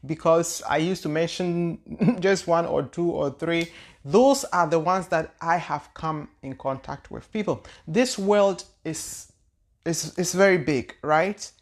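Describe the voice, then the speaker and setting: A woman's voice: on the low side, takes it slowly. Woman talking in loose, searching speech. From Ghana. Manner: loose, searching delivery with frequent repeated words and hesitations. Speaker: spiritual teacher and channel host. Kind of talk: casual spoken talk answering viewer questions.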